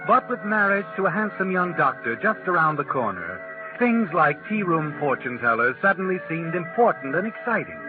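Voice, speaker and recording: An actor playing a sinister, all-knowing storyteller, narrating a 1940s radio mystery with dramatic menace. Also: male voice, fairly high pitch. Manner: dramatic, ominous. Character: sinister, omniscient male night-walking storyteller. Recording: tinny vintage 1940s radio recording, music behind.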